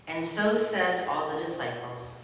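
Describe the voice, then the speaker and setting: Woman narrator reading scripture, lowish voice, big church-like echo, kinda distant. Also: expressive, measured pace, a bit bright. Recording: very echoey hall, distant mic, faint noise.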